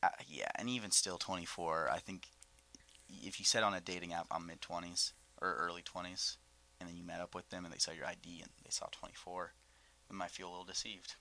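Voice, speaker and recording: Podcast co-host, man in his twenties, low gravelly voice, jokey banter. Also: fairly quick. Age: 20s. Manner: joking, animated banter. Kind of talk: casual chat.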